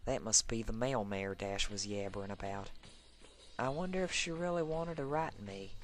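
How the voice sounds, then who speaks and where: A man's voice: lowish voice, moderate pace, soft background music, voice pushed up, natural voice. A man voicing a proud, grumpy farm pony mare with a blunt, folksy drawl in a fan fiction reading. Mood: puzzled.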